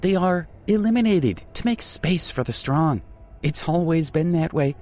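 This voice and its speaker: A man's voice: a little high, lively intonation, measured pace, darkish tone, voice pushed up. A man voicing a ruthless, arrogant young male heir who talks in a cold, dismissive way. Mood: cold, scornful.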